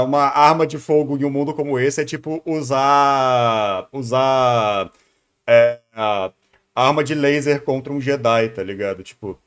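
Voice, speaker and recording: A man's voice: fairly high pitch, a bit bright. A man with a Brazilian accent giving casual live reaction commentary as a streamer.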